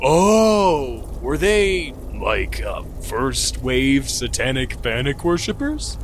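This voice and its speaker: A man's voice: medium pitch, big pitch swings, takes it slowly, slight background noise. An actor playing a dim, laid-back teenage male wannabe cultist in a comedic horror audio drama, with earnest, hesitant slacker slang.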